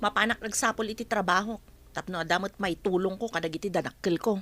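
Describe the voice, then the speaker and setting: A woman's voice: mid-range voice, fast, fairly bright tone, faint music behind, close to an everyday voice. An actress playing an exasperated, principled wife who stands up for her family, in an Ilocano radio drama. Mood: indignant.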